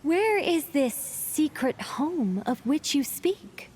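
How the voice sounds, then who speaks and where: A woman's voice: high-pitched, big pitch swings, darkish tone, pitched above the performer's own voice, heavily acted. A woman voicing a humble, awestruck young female wanderer from a storybook in a video game, with flowery reverent phrasing. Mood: puzzled. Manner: flowery, reverent, slow delivery.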